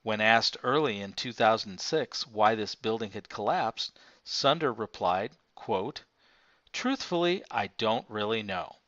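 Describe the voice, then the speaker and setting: A man with a fairly low voice narrates a nonfiction book. His delivery is formal and measured, clearly scripted. The recording is very dry and somewhat dull, with a lo-fi edge. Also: expressive, a bit bright, no echo. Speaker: audiobook reader.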